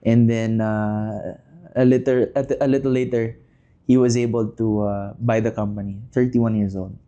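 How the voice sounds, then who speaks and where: A man, a podcast guest who talks through his answers with many pauses and self-deprecating asides. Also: lowish voice, fairly even intonation, warm tone, highs cut off. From Philippines. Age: 30s. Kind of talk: casual interview chat.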